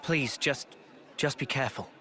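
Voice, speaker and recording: An actor playing a gentle, polite, concerned young hero in a video game, soft-spoken and considerate. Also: male voice, medium pitch, normal speed, a faint crowd, natural voice. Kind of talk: video game cutscene acting.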